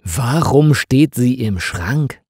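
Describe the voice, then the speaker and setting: A man's voice: mid-range voice, animated, slow pace, darkish tone, husky, dry recording, clean studio sound, heavily acted. A man voicing a puzzled, irritated ordinary man musing to himself in a mystery story.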